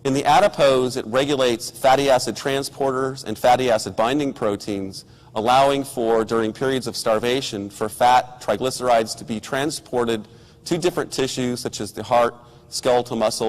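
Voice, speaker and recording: Hoarse man lecturing in a reverberant hall. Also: mid-range voice, steady tone. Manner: measured, technical, with ums and restarts. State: hoarse from a cold. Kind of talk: academic science lecture.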